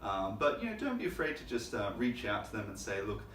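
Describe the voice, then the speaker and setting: A man hosting a casual chat, relaxed and talkative, with lots of "like" and "you know". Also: on the low side, brisk, slight background noise. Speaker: host. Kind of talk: casual conversational talk. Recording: echoey room, mic at a distance.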